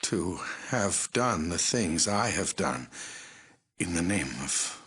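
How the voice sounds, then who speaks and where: A megalomaniac mutant overlord from a video game. The voice sits deep and moves slowly, with a cold, commanding menace. Every phrase is delivered as a decree. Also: male voice, lively intonation, a bit bright, a little hiss or hum, exaggerated voice. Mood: cold, menacing. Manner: slow, commanding, imperious. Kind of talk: video game villain voice acting.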